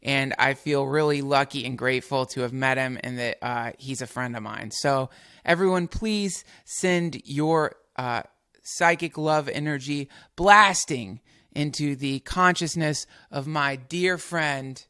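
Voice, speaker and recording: A man's voice: medium pitch, a little slow, sharp tone, clear, resonant tone, no room sound, clean studio sound. Rambling, profane male podcast host. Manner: rambling, profane, playful intros. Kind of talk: casual podcast chat. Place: home studio.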